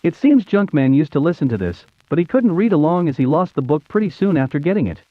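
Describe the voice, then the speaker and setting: A man's voice: fairly high pitch, sing-song, fast. An American man in his fifties narrating nostalgically in a scripted storybook style. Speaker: YouTube show host. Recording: tinny phone-like narrow-band sound.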